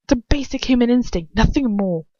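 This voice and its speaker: A woman's voice: a little high, expressive, fairly quick, mellow, no room sound, lo-fi sound, voice pushed up. A woman reading the lines of a smug, condescending aristocratic male wizard who lectures about courage. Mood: lecturing.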